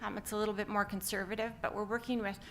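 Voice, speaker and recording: A woman's voice: mid-range voice, little pitch movement, fast, a bit bright, slight background noise. Upbeat Canadian woman presenting. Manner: upbeat, many "um" fillers. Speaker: company founder presenting. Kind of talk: conference presentation.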